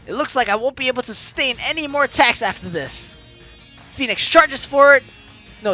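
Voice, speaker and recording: A man hosting a let's play, reading a visual novel story aloud in a theatrical, dramatic way. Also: very high voice. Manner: theatrical, animated delivery. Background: game music.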